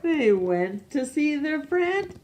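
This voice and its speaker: A woman reading a picture book aloud to young children as a storyteller, expressively and with pauses for questions. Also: high voice, very animated, darkish tone.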